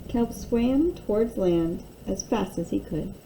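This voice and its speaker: A woman reading a picture book aloud like a teacher, in an expressive, deliberate storytelling manner. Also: medium pitch, a little hiss or hum, rough recording.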